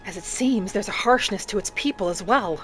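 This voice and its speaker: A woman narrating a fantasy game roleplay in character as a gentle young wood elf, with an unhurried, musing delivery. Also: medium pitch, soft background music. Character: gentle young female wood elf traveler, earnest and principled.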